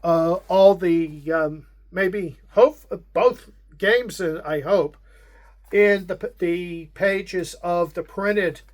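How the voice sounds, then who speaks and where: A man with a Pittsburgh accent, hosting a casual unboxing and walking through the contents in a measured way with frequent pauses. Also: sits high. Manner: unhurried, measured, with frequent hesitations. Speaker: wargame channel host.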